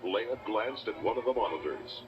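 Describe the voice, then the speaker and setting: A man's voice: fairly low pitch, lively intonation, normal speed. A man narrating a children's read-along adventure in a dramatic, scripted storytelling style. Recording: muffled distorted toy cassette playback. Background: loud dramatic music.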